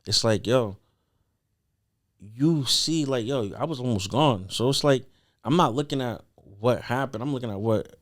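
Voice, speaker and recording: A young man telling a personal story as a podcast guest in a casual, slangy, earnest conversational way. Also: mid-range voice.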